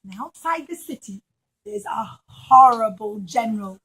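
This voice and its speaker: A woman with a high voice tells a story to young children as part of a puppet show. She speaks in simple words with dramatic emphasis, addressing the children directly. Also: lively intonation, unhurried, fairly bright tone, husky, rough recording.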